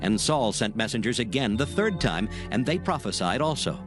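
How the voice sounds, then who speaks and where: A man's voice: medium pitch, big pitch swings, moderate pace, music in the background. A man narrating a dramatized scripture reading in solemn, formal archaic phrasing.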